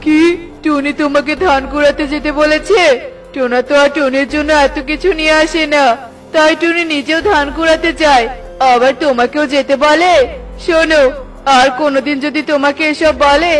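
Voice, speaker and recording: Man voicing a high-pitched doting crow husband. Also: steady tone, normal speed, fairly bright tone, faint music behind. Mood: doting, indignant. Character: doting crow husband, boastful and obedient to wife. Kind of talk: children's cartoon voice acting.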